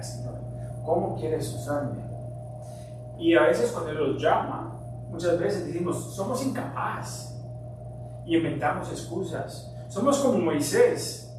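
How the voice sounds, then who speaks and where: A middle-aged man born in Colombia whose first language is English, preaching a sermon earnestly, sometimes forceful and sometimes quiet. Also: high-pitched. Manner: earnest preaching with shifts between emphatic and quiet. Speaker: pastor. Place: church sanctuary. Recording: very echoey, distant mic, hum.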